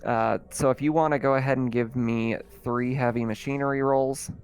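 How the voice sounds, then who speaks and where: A man running a tabletop roleplaying game as game master, narrating casually in a halting, improvised way. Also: medium pitch, fairly even intonation, clean tone. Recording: faint music behind the voice. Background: faint music.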